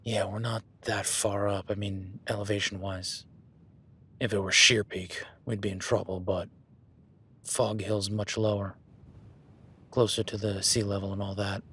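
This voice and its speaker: A man's voice: on the low side, level tone, measured pace, pushed and bright, slight background noise. A man playing an easygoing, seasoned cowboy rancher in an audio roleplay, talking to the listener in a folksy, plainspoken way.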